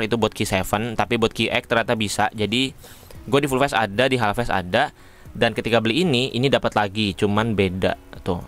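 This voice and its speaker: A man's voice: on the low side, fairly bright tone. A man talks like a motovlogger doing a casual unboxing. He addresses viewers informally as friends. Music plays faintly behind him. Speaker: motovlogger reviewing gear. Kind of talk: casual unboxing and review chat.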